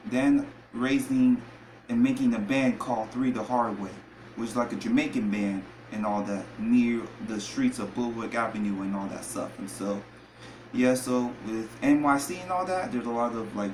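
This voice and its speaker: Rambling man in an echoey room. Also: medium pitch. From New York. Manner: rambling, repeats filler phrases. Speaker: podcast host. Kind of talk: solo podcast monologue. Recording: echoey room, faint background noise.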